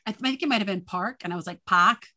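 A woman's voice: mid-range voice, quick pace, sharp tone. Guest: middle-aged woman, New England accent, chatty, laughs a lot. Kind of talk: casual conversation.

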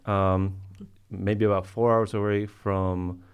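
Male podcast guest, Colombian-born native Spanish speaker, very low pitch, halting conversational delivery. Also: flat delivery, clear, resonant tone. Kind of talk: casual podcast interview talk.

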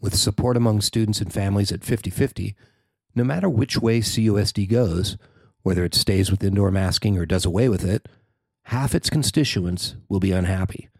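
American man, lowish pitch, scripted news narration, reporter reading copy, dry studio-like sound. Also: normal speed, a little mellow, breathy edge, dry recording. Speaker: reporter reading own written article.

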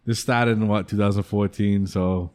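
A man hosting a casual talk show, relaxed and swearing freely. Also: on the low side, moderate pace. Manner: laid-back, swears freely, jokes about league rivals. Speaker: podcast host. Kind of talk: casual chat.

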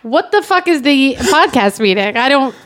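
A woman's voice: sits high, very animated, moderate pace, a bit bright. A woman reading script directions and bantering as a comedy guest, with an exasperated, questioning manner.

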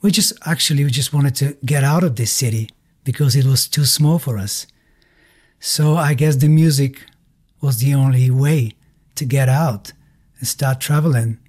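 Musician guest, male, middle-aged, Bulgarian accent. Relaxed, warm tone, medium pitch, searches for words while recounting memories. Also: slightly rough. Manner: halting, searching for words in non-native English. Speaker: musician being interviewed. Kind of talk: casual interview, personal storytelling.

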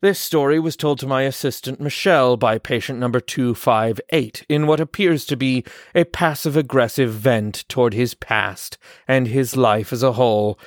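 A man playing a watchful facility doctor, dictating case tapes in a measured, formal, detached way for an audio drama. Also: medium pitch, dry recording, studio quality, natural voice. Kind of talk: recorded case notes in a horror audio drama.

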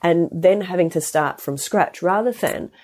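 Congested woman, low and mellow. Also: normal speed. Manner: chatty, rambling with restarts and asides. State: congested with a head cold. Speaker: podcast host and health practitioner. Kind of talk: casual solo podcast talk.

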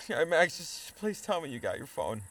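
Audio drama: exasperated, anxious male hiker, sarcastic and sweary, forceful, very expressive delivery, faint ambience. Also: fairly high pitch, a little slow, dry recording, exaggerated voice. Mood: pained, panicked. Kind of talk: full-cast audio drama acting.

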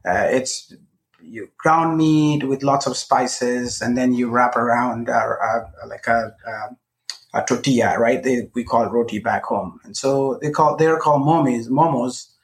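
A man in his forties from Nepal, a podcast guest talking in a friendly way, pausing often with fillers. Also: mid-range voice, low-quality recording. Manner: friendly, halting with many fillers. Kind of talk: casual interview chat.

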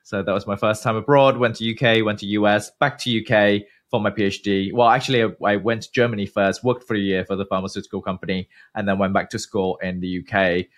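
A man in his thirties from Malaysia, a guest researcher giving measured, explanatory interview answers with frequent pauses. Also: fairly low pitch, little pitch movement, a bit bright.

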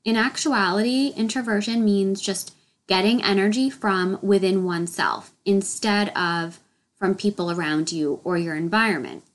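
A woman's voice: mid-range voice, normal speed. A woman hosting her solo podcast, a little nasal and congested from a head cold, explaining a topic in an upbeat way. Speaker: podcast host.

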